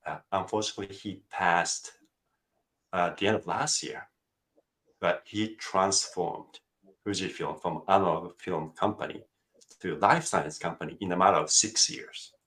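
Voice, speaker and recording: A man's voice: sits low, fairly even intonation, normal speed, a bit bright, rough recording. A middle-aged man from Japan with a Japanese accent, chatting as a guest over a video call, self-joking and hesitant with fillers. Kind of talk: casual interview chat.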